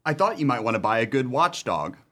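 Shifty male tramp, actor: clipped radio play patter, middle register, extremely animated delivery. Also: mid-range voice, fairly quick. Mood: sly selling. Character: sly, smooth-talking male tramp burglar.

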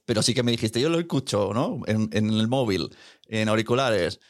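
A man from Catalonia hosts a relaxed podcast conversation, joking and laughing as he questions his guest. His voice sits low, and the sound is close and dry, with no room around it. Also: moderate pace, no echo. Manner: jokey, teasing, laughs often. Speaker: podcast host. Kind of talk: casual interview chat. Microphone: Rode studio microphone.

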